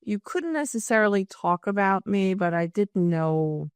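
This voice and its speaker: A woman's voice: mid-range voice, animated, a little slow, smooth, no room sound. A woman in her fifties, born in Germany with German as her first language, telling her story as a podcast guest in a chatty, self-deprecating way. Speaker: guest, business owner. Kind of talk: casual interview chat.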